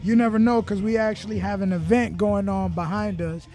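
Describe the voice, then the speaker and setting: A man's voice: sits high, fairly even intonation, brisk, mellow. A man hosting a podcast in casual chat, loose and laid-back, swearing freely, with event music playing behind. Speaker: podcast host.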